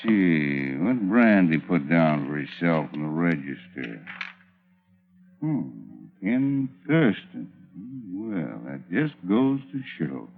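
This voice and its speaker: Sly Western cowboy, folksy slow drawl, thin telephone-like vintage radio sound. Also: male voice, sits low, very animated, soft background music, heavily acted. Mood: sly, amused. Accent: American West. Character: sly, folksy American cowboy-talking busybody. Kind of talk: 1940s radio acting. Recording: tinny vintage radio recording.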